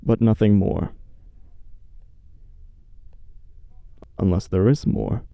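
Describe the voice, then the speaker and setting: A man playing a cold, grandiose villain who rules a dream realm, speaking with measured menace in an audio drama. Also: fairly low pitch, gravelly, no echo, lo-fi sound. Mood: cold disdain.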